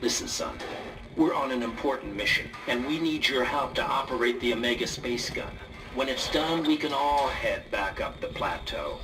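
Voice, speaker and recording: A man acts out a gruff, commanding man on an urgent game mission. His medium-pitched voice sits some way from the microphone. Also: animated, normal speed, far from the mic, close to an everyday voice, poor audio.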